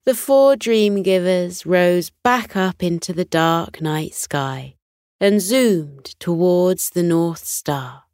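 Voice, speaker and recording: A woman with a slightly low voice tells a children's bedtime story. She reads very slowly and gently, in a measured, soothing way, and the recording is dry and close. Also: expressive, dry recording.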